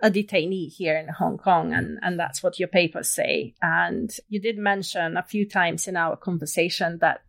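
A woman interviewing a guest on a podcast, asking gentle, attentive questions. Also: fairly low pitch, fairly even intonation, normal speed, creaky, hardly any pauses. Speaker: interviewer, academic.

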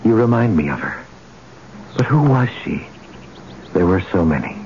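An actor playing an ancient god, romantic, brooding and commanding, speaking in a solemn, intimate, declamatory way in an old-time radio drama. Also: male voice, low-pitched, big pitch swings, normal speed. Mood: solemn, assured. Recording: muffled, noisy old radio broadcast.

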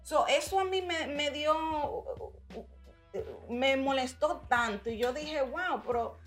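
Lively woman storyteller. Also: sits high, slow, soft background music. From Dominican-American. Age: adult, mother. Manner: animated storyteller, drops into English phrases. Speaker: guest writer and community organizer. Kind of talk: casual interview chat.

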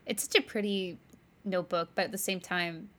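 A woman's voice: fairly low pitch, steady tone, normal speed, a bit bright. A woman podcast co-host chatting casually in a rambling way, saying "like" often. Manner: chatty, rambling, laughs lightly, uses "like" a lot. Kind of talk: casual chat.

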